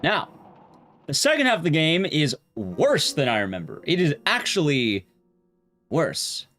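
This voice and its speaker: Animated man chatting on a game stream. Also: fairly high pitch, a little slow, soft background music. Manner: rambling, conversational, blunt, swears casually. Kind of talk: casual live-stream chat while gaming.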